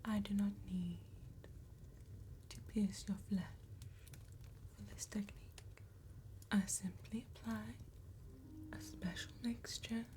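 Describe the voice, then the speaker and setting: A woman playing an elegant, courteous vampire tattoo artist in a soft-spoken ASMR roleplay, with breathy, genteel, deliberate phrasing. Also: medium pitch, close to an everyday voice. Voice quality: breathy, whispered.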